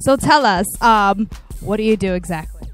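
A young adult woman hosting a studio interview, asking questions in a bright, encouraging way on a handheld mic. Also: medium pitch, soft background music. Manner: bright, encouraging, quick follow-ups. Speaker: interviewer, show host. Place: small studio in front of a logo backdrop.

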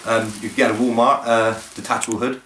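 A young British man on a living-room sofa, bantering with dry, crude deadpan humor in a mock infomercial. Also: mid-range voice, fast. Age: young adult. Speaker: vlogger. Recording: roomy with faint noise.

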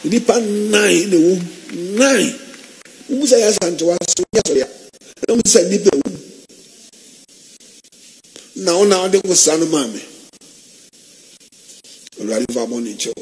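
A middle-aged man from Ghana, a pastor, preaching impassioned to camera from an indoor office, swinging between hushed low warnings and loud high exclamations. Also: expressive, moderate pace, pauses often, slight background noise, low-quality recording. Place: indoor office, seated in armchair.

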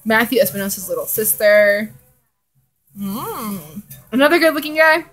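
A woman's voice: on the high side, normal speed, fairly bright tone. A young woman vlogger chatting casually and reading fan fiction in a rambling, giggly way. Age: young adult. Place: living room indoors.

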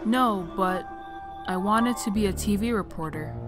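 A woman playing an earnest, anxious young female lab assistant in an animated drama, hesitant and troubled. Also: mid-range voice, measured pace, over music, lightly acted. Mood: confused, distressed.

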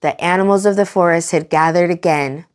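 A young adult woman hosting children's storytime, reading aloud in an animated, expressive way and enunciating for young listeners. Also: fairly low pitch, brisk.

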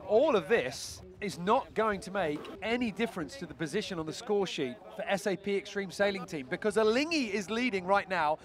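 A man giving live play-by-play commentary on a sailing race, brisk and analytical. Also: high-pitched, expressive.

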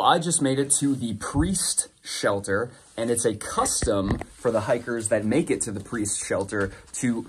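A man's voice: on the low side, a little hiss or hum. A young man vlogging from a long-distance hike on a phone held at arm's length, talking in a wry, self-mocking way outdoors. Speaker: thru-hiker vlogger. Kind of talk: casual trail vlog to camera. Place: outdoors on a mountain trail.

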